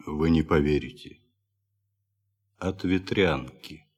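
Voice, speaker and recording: A grave ship's doctor in a theatrical radio play. His deep voice moves slowly, with weighty pauses and a sombre manner. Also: darkish tone, slightly rough, heavily acted. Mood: grim, certain. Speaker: actor in audio play. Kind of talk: theatrical radio drama acting.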